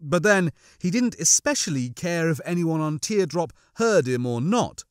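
Animated male audiobook narrator. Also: fairly high pitch, normal speed, clean tone, no room sound, clean studio sound. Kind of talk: dramatic scripted narration of a science fiction novel.

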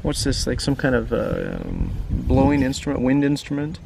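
Gravelly man reading casually to kids. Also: mid-range voice, wildly swooping pitch, normal speed, noisy background. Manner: informal, asks the listener frequent questions and tag questions. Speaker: children's book reader and teacher. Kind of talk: casual read-aloud, chatting to young viewers.

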